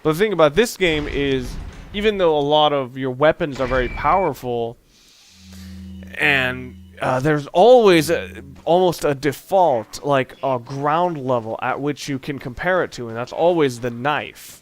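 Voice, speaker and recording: A man with a medium-pitched voice talks through a video game as he plays. His commentary is casual and unscripted, wandering into dry, musing asides. Clear background noise sits under his voice. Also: a little slow. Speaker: let's play host.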